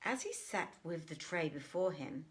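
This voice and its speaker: A woman narrating an old tale in an expressive, theatrical storybook style with deliberate phrasing. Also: sits low.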